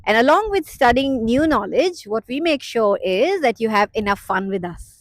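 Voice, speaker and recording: A woman's voice: on the high side, very animated, moderate pace, no echo. A woman from university staff, talking in an upbeat, welcoming way as she promotes a study program. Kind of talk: enthusiastic promotional talk.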